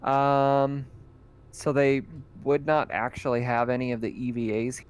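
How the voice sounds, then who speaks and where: A man running a tabletop game as game master, easygoing and quick to laugh. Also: mid-range voice, monotone, slight background noise. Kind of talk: casual tabletop game chat.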